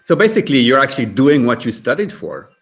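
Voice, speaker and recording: A man's voice: mid-range voice, sing-song, fast talker, faint music behind. A man hosting a casual live stream, chatting and putting questions to his guest. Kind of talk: casual interviewing chat.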